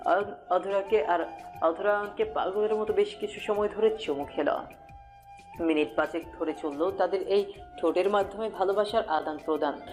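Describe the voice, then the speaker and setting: A man's voice: high-pitched, a little mellow. A man giving a scripted narration of a romantic story. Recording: music bed under the voice. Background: music.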